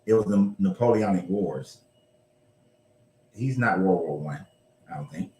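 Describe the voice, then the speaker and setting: A man's voice: low-pitched, lively intonation, a little slow, mellow. A man hosting a casual history discussion, talking loosely with some swearing and correcting himself as he goes. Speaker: show host. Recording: roomy echo.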